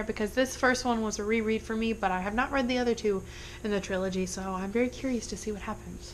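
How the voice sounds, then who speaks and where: A woman's voice: mid-range voice, a little hiss or hum, rough recording. Congested young woman chatting about books. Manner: chatty, rambling asides. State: congested from illness. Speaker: book vlogger. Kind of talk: casual book wrap-up.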